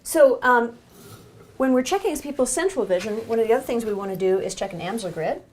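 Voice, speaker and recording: A woman's voice: on the high side, animated, brisk, darkish tone, slight background noise. A woman clinician giving an instructional demonstration of an eye exam, encouraging the patient as she explains each step.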